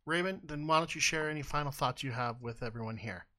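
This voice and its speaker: A man co-hosting a casual comedy podcast, giving absurd explanations in a deadpan, mock-serious way. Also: medium pitch, fast talker. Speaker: podcast co-host.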